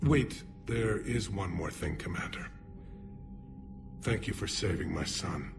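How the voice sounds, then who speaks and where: Grave, low-voiced alien statesman. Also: gravelly, exaggerated voice. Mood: grave, guarded. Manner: measured, grave. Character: weary, guarded alien leader, former general, older male. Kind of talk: video game cutscene acting. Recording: game music behind the voice.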